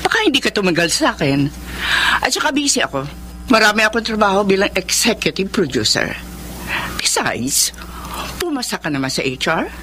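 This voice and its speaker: A woman's voice: medium pitch, very animated, moderate pace, sharp tone, a little hiss or hum. A woman playing an imperious, middle-aged boss in a Filipino radio drama, brusque and commanding, with a Filipino accent. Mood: curt.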